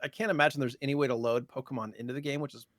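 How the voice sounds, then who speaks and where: A man's voice: mid-range voice, fairly even intonation, very fast, a bit bright, dry recording, clean studio sound. A man co-hosting a podcast in casual chat, joking and playing along. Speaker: podcast co-host.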